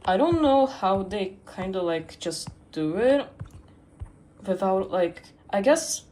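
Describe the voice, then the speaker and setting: A teenage girl talks slowly through a casual livestream, trailing off and restarting her sentences. Her voice sits at a middle pitch, and a faint ambient hiss runs underneath. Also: animated, warm tone, poor audio. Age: teens. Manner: hesitant, full of fillers and restarts. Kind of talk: rambling casual stream chat.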